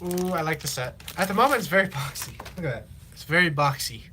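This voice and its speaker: A teenage man from Illinois, chatting casually on a live stream while he builds, rambling with lots of fillers, his voice slightly raspy from long talking. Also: on the high side, expressive, background noise. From Illinois, USA. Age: teens. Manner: rambling, halting, many fillers and restarts. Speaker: livestreamer.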